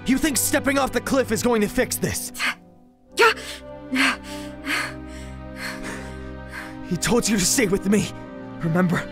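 A man's voice: high-pitched, lively intonation, brisk, over music. A man playing a hot-tempered, protective young prince, curt and impatient, in an audio drama. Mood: alarmed.

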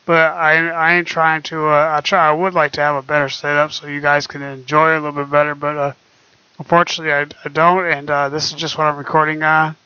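A young man talking quietly and hesitantly with many fillers, recorded on his laptop microphone at home. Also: on the high side, level tone, fast, clean tone, no echo. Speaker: vlogger. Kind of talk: casual screen-recorded talk. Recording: dull lo-fi laptop mic.

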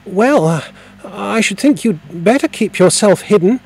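A polite little boy in a storybook, put on by a male reader, his voice high and bouncing all over. He stammers and hesitates but talks in earnest. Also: lively intonation, measured pace, gravelly, a little hiss or hum, exaggerated voice. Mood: cautious. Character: polite, curious young boy.

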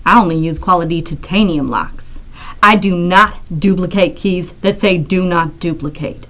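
Woman doing a stern, low-voiced locksmith. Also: moderate pace, close to an everyday voice, rough recording. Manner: deadpan, stern, clipped. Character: humorless, tough locksmith obsessed with safety. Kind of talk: sketch comedy monologue.

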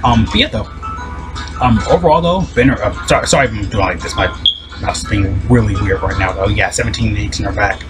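A deep-voiced man talks fast and loosely through game news, piling up fillers and restarts. His low voice sits over clear background music. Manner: rapid, rambling talk with many fillers and restarts. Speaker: gaming video host. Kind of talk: casual game news commentary.

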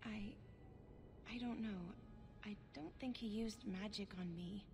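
An actress playing a troubled, gentle elven wife in a video game, speaking in a hesitant, halting way. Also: female voice, medium pitch, music in the background. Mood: fearful, pleading. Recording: game audio with clear background ambience.

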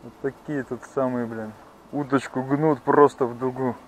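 A man's voice: medium pitch, fairly even intonation, moderate pace. A man chatting casually as he films a fishing outing on a pond bank. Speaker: angler filming a fishing outing. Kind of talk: casual chat while fishing. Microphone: handheld camera. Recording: noisy outdoor recording, insect hum.

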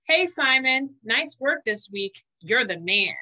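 A woman's voice: a little high, very animated, slow pace, bright, forceful tone, heavily acted. A woman voicing a cheeky, boastful, chummy germ from a children's picture book. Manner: bragging, chummy.

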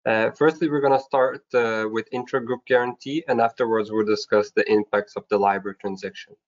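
A man's voice: on the low side, fairly even intonation, brisk. A man giving a formal, scripted slide presentation in a webinar, going through his points step by step. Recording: dull, lo-fi online webinar audio.

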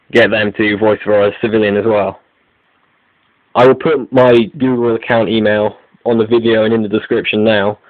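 A man's voice: fairly low pitch, no room sound. A man hosting an online video update, reading out announcements in a scripted style. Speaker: video creator and host. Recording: phone-like, narrow lo-fi sound.

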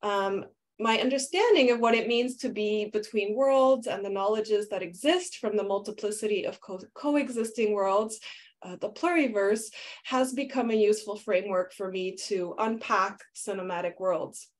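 A woman speaks through a video call from a small room, presenting a conference paper. Her delivery is measured and scholarly, full of small ums and uhs as she works through her ideas. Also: mid-range voice. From Canada. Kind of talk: academic conference talk.

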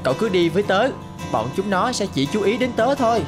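Woman playing a young schoolboy, casual, friendly, loyal, animated cartoon, music behind. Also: medium pitch, fairly quick, close to an everyday voice. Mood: friendly chatter. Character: good-natured schoolboys, poor and loyal friends. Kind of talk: cartoon voice acting.

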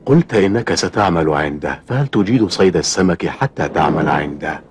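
A man voicing a gossipy, suspicious middle-aged fisherman in a cartoon, with deliberate, dramatic delivery. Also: low voice, expressive, noisy background. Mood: curious, friendly. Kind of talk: Arabic cartoon dubbing.

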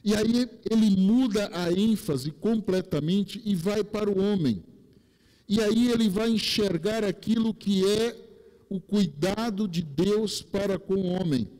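A Brazilian man in his forties with a Rio accent preaching a sermon from a pulpit on a headset mic, slow and deliberate, dropping his pitch for emphasis. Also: dark tone. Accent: Rio de Janeiro Brazilian Portuguese. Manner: gestures broadly while preaching at a pulpit. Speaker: pastor. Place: large church sanctuary, indoors. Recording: long church hall reverb.

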